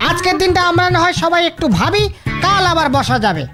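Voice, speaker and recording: Woman voicing a very high, inquisitive cartoon character. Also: animated, fast, music in the background. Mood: practical. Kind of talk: comic cartoon voice acting.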